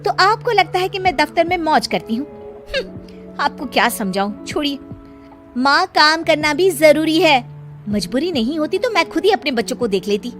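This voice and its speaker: A woman voicing a young, overworked daughter-in-law in a cartoon story, talking back quickly and with exasperation. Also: sits high, very animated, a bit bright, soft background music, pitched above the performer's own voice. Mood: defensive. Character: young working daughter-in-law, busy, defensive.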